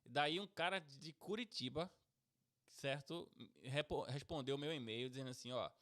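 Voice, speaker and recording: A man's voice: medium pitch, moderate pace, a bit bright. Middle-aged Pernambucan man, casual podcast host, mid-range voice, talkative. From Brazil, Pernambuco. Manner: chatty, keeps tagging "certo?" and "beleza?".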